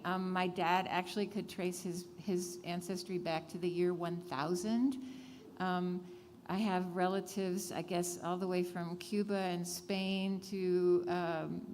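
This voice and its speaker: A woman born in Switzerland whose first language is German, a singer-songwriter who leads a sing-along and then talks softly with fillers in a live radio session. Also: fairly low pitch, big-room sound, slight background noise. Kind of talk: live song with spoken interview. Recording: live radio studio with guitar.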